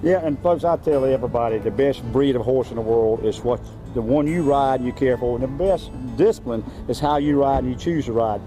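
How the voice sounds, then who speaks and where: A man's voice: medium pitch, a little fast, hardly any pauses, music in the background, poor audio. A man hosting a folksy interview outdoors at a horse event, chatty with fillers. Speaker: show host.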